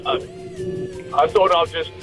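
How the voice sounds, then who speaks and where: A man's voice: extremely high pitch, wildly swooping pitch, slow pace, over music. A man calling in by phone to a radio show, hesitant while correcting the hosts. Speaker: caller. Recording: phone line.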